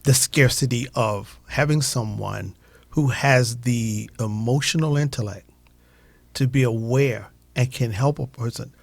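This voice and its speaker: A man in a reflective radio conversation, speaking in a measured, affirming way. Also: medium pitch, creaky, dry recording.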